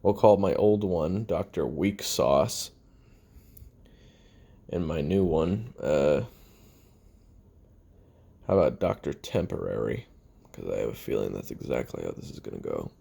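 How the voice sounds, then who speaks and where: Man acting a very deep, gravelly, deadpan assassin. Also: full character voice. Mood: dry, sardonic. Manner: halting, deadpan, dryly self-deprecating. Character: weary, sardonic male assassin recording taped memoirs. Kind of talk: confessional audio roleplay monologue.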